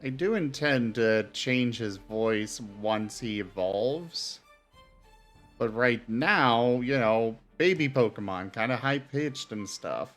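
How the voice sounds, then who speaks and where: Guy in a game group, talks slow and hesitant, music faint underneath. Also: medium pitch, animated, fairly bright tone. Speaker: tabletop game player. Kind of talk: casual chat.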